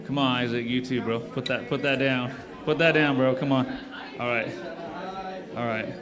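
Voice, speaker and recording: A man's voice: medium pitch, fairly even intonation, moderate pace, big-room sound, crowd noise. A man leading a church service, speaking casually and encouragingly to the congregation and repeating himself often. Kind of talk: addressing a congregation.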